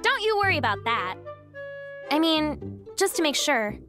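Bubbly eager young woman, video game, really swoopy squeaky-high voice. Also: sing-song, unhurried, over music. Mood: cheerful eagerness. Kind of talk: video game character acting.

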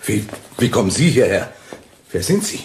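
An actor playing a domineering, cornered murderous husband in a radio play, theatrical and blustering. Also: male voice, lowish voice, sing-song, normal speed, a little mellow, a little hiss or hum. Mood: angry, alarmed. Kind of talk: German radio play acting.

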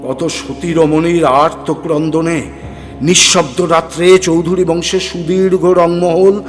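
A man with a high-pitched voice narrates a dramatic story in a measured, literary way. His voice carries a long reverb, and music plays underneath. The delivery is theatrical and scripted. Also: level tone.

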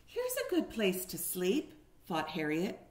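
A woman's voice: mid-range voice, wildly swooping pitch, unhurried, a little mellow. A woman around her forties, a kindergarten teacher reading a picture book aloud expressively and putting questions to young listeners, recorded at home on a webcam. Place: living room at home, indoors. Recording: roomy echo on a home webcam.

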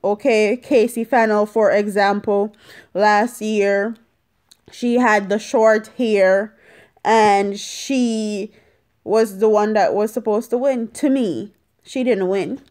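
Slow-paced young woman. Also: medium pitch, steady tone, a little mellow, clear, resonant tone. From Jamaica. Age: young adult. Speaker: vlogger. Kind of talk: casual opinion vlog to viewers. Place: small indoor room at home. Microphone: phone at arm's length.

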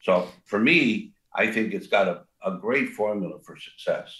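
A man's voice: fairly low pitch, lively intonation, moderate pace, a bit bright, reverberant. An older man, a restaurant co-founder, talks in a rambling way with many fillers and restarts and a big voice. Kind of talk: casual interview talk.

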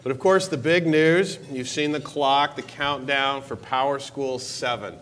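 A man's voice: unhurried, fairly bright tone, a little hiss or hum. A man in his forties on a conference stage, giving an enthusiastic keynote speech about a product release. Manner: upbeat, enthusiastic pitch with stressed repeated words. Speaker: keynote speaker, technology executive. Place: large conference hall stage. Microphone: lapel mic.

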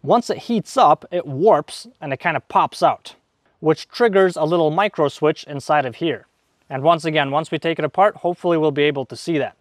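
Higher-voiced man explaining hands-on. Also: fairly quick, dry recording, clean studio sound. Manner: plain-spoken, informal, step-by-step. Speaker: DIY repair video host. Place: outdoors in a leafy garden at a table. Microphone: clip-on wireless mic on the chest.

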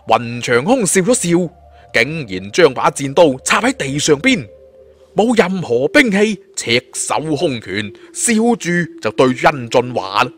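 A man narrating an adventure tale in as a theatrical, expressive audiobook storyteller. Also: mid-range voice.

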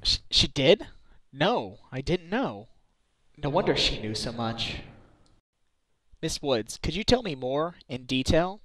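A man's voice: a little high, very animated, takes it slowly, pauses often, a bit bright, exaggerated voice, rough recording. A man voicing an earnest, caring defense lawyer in a video game fan dub, with an inquisitive manner. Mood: puzzled. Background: game music.

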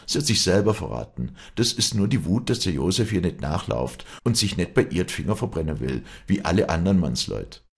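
A man's voice: low voice, big pitch swings, a little fast, creaky, hardly any pauses, husky, pitched above the performer's own voice, heavily acted. A man voicing a good-natured, protective village innkeeper woman who speaks soothingly and plainly in Alpine Bavarian dialect. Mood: comforting.

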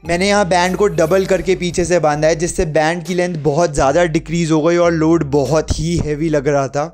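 Higher-pitched young man narrating workouts over music. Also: steady tone, normal speed, a little mellow. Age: young adult. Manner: mixes Hindi with English gym terms. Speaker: home workout instructor.

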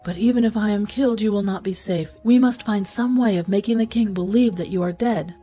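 A woman voicing a loyal, protective male captain of the guard in a storybook, steady and reassuring. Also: medium pitch, fairly quick, husky, faint music behind, close to an everyday voice. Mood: reassuring, grave.

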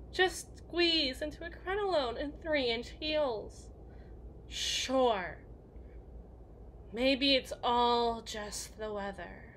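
A woman's voice: a little high, fairly bright tone, slight background noise, poor audio. A woman playing a melancholy, guilt-ridden evil queen in an ASMR roleplay monologue, speaking in formal, introspective phrases to someone she loves. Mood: bleak, resigned.

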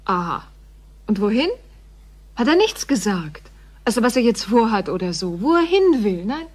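A woman's voice: fairly high pitch, sing-song, normal speed. An actress playing a breezy, curious, chatty woman in a radio play, talking colloquially and eagerly. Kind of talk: radio drama acting.

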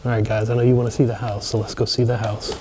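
A man excitedly narrating a walkthrough of an empty mansion, chatting to viewers as he films. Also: mid-range voice, fast, a little hiss or hum. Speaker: abandoned places explorer. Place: large empty mansion, indoors.

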